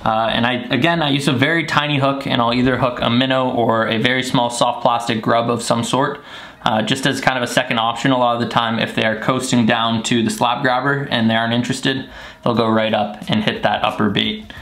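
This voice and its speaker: A young man in his twenties casually explaining gear to the camera from a small bedroom, relaxed, with frequent fillers. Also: medium pitch, a little fast, vocal fry. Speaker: fishing channel host. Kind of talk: casual tutorial vlog.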